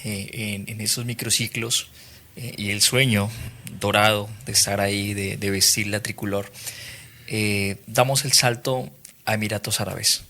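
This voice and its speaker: A Colombian man with a Colombian Spanish accent, hosting a podcast interview and asking long questions in a measured way with fillers. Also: on the low side, steady tone, pushed and bright. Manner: measured, searching for words with fillers. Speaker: podcast host.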